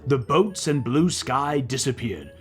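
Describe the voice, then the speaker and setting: Animated man reading a children's book. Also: medium pitch, a little slow, faint music behind. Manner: playful, expressive. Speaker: children's book reader and comedy co-host. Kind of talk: animated read-aloud narration with playful banter.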